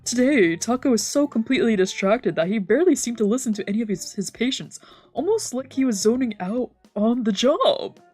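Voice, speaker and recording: A woman's voice: fairly high pitch, a little fast, soft background music. Woman voicing an emphatic, exasperated young man. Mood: indignant. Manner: emphatic, animated. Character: emotional, exasperated young man, scolding and pleading. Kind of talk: expressive read-aloud dub of a visual novel.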